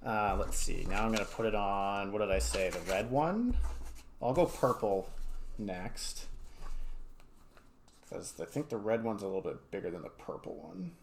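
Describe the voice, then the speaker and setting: A man's voice: fairly low pitch, lively intonation, moderate pace, pauses often. A man giving a casual, step-by-step craft tutorial as an art educator, rambling a little with informal asides. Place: home studio. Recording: clear background noise, small clicks.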